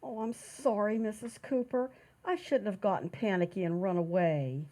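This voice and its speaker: A woman's voice: mid-range voice, moderate pace, dark tone, natural voice. A woman playing a timid, romantic, deferential housemaid in an old-time radio sitcom. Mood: meek, dreamy.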